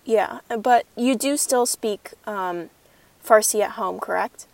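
A young woman interviewing a friend, asking questions hesitantly with many pauses and fillers. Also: mid-range voice, vocal fry, dry recording.

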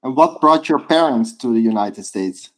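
A man's voice: medium pitch, lively intonation, moderate pace. Curious male podcast host. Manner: curious, asks questions with restarts. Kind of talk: interview hosting.

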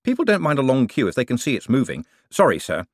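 A man voicing a glib, self-assured young male banker who talks briskly. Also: medium pitch, huge pitch swings, no echo, studio quality, pitched above the performer's own voice.

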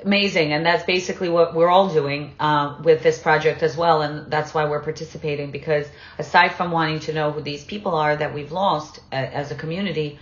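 A woman with a low voice talks personally in a conversation. She is halting, restarting her phrases as she searches for words, and the sound is roomy and slightly dull. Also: steady tone. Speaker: interview participant, writer and parent.

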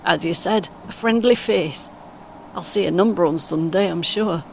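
A woman playing a kindly, encouraging British grandmother in her eighties in an audio play, speaking gently and reassuringly. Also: normal speed. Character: kindly, encouraging 81-year-old grandmother on a coach. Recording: clear vehicle rumble noise. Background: vehicle ambience.

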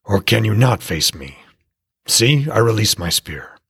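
A proud, fearless old war god, voiced by a man, haughty and sneering. His voice is deep with a gravelly edge and a husky grain, recorded close and dry. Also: very animated, a little slow, fairly bright tone, no room sound, exaggerated voice. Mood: scornful. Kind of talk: audiobook character voice.

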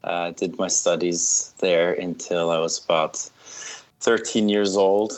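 Low-ish swiss guy in his 30s, laid back, chatting as a podcast guest with lots of ums. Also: takes it slowly. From Switzerland. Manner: relaxed storytelling with fillers and laughter. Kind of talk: casual interview.

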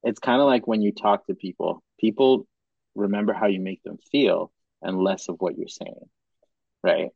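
A young man from Madagascar hosting a podcast, talking in a relaxed, casual way and restarting his sentences as he thinks. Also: lowish voice, moderate pace, gravelly, husky, dry recording, dull audio. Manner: relaxed, says bro and dope a lot, thinks aloud with restarts. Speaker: podcast host.

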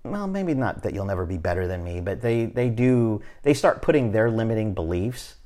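A man in his fifties hosting a podcast, talking in a relaxed, motivational way and often checking in with "right?". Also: on the low side, normal speed. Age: 50s. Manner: conversational, repeats "right?" often, restarts phrases. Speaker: podcast host. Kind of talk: casual motivational chat.

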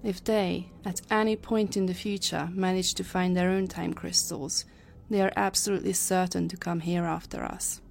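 Woman playing a serious lady scientist from a sci-fi audio drama, lowish voice, music underneath. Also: little pitch movement, normal speed, gravelly. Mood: urgent warning. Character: earnest, grave woman time-travel scientist warning allies.

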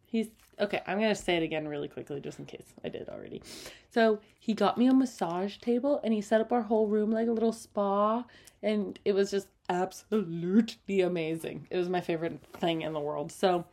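A young adult woman co-hosting a casual couple's chat, bubbly and quick to laugh or gasp in surprise. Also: medium pitch, vocal fry, darkish tone. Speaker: podcast co-host.

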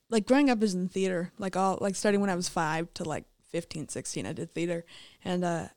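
A woman stand-up comedian from the American South chatting on a podcast, talking fast and filling her sentences with "like". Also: on the low side, a little mellow, dry recording. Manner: chatty, quick, lots of "like" fillers. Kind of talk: casual podcast chat.

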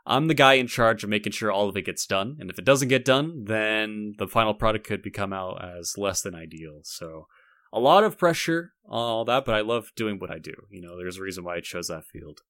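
A man's voice: lowish voice, lively intonation, a little fast, fairly bright tone, no echo, studio quality. A man co-hosting a podcast in casual chat, rambling and often cutting his own sentences off to start again. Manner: rambling, self-interrupting. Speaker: podcast co-host.

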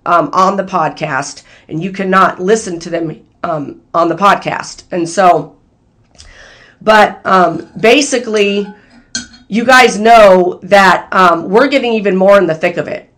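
A channel host with a hoarse, failing voice, earnestly sharing a prophetic word in casual spoken style and talking straight to the viewers. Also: medium pitch, measured pace, a bit bright. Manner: earnest, talks straight to viewers as "you guys". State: hoarse, losing voice.

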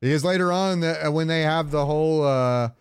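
A man's voice: on the high side, fairly quick, clear, resonant tone. A man hosting a podcast in casual fan chat, talking freely and profanely. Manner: profane, animated retelling with quoted lines. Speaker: podcast host.

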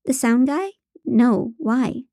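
Grumbling, put-upon female crew member, higher-pitched narrator's voice. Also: slow, mellow, dry recording, clean studio sound, exaggerated voice. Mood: exasperated. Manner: groaning, exasperated.

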